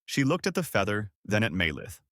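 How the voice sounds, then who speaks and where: A male storyteller reads a children's fairy tale from a script. His pitch is on the low side and moves in lively swings. The sound is dry and close, with no room around it. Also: fairly quick, dry recording. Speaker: storyteller, narrator.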